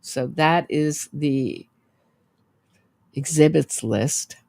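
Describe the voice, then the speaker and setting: A woman's voice: low voice, extremely slow, gravelly, darkish tone, dry recording. Congested woman hosting a true crime show. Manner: chatty, thinking aloud, reads viewer comments. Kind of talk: casual livestream commentary.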